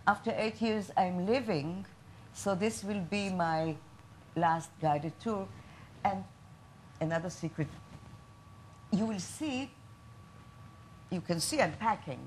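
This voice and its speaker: A woman's voice: medium pitch, slow, pauses often, a little hiss or hum. A woman from Israel, speaking as a tour guide in an apologetic, chatty, confiding way. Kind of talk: stage monologue.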